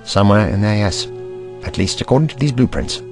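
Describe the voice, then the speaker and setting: A man playing an eccentric, brainy time traveller in an audio drama, kindly but testy, musing aloud in a wordy, gently pedantic manner. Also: fairly low pitch, animated, brisk, over music. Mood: puzzled, determined.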